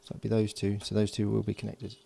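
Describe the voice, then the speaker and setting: A middle-aged man narrating his electronics build at a home workbench, relaxed and filling pauses with ums and uhs. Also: lowish voice, gravelly. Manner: relaxed, thinking aloud with many fillers. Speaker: electronics hobbyist.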